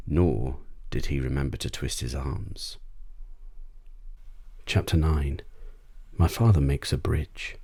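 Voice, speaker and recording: Deep voiced guy reading an asmr bedtime audiobook, husky, super dry close mic. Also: mellow, no echo. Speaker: audiobook narrator. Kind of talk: scripted bedtime story narration, ASMR.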